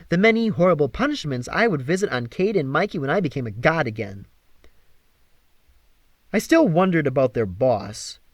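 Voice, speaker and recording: Lively, higher-pitched male audiobook narrator. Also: no echo. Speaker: audiobook reader. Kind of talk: expressive scripted narration of a young-adult fantasy novel.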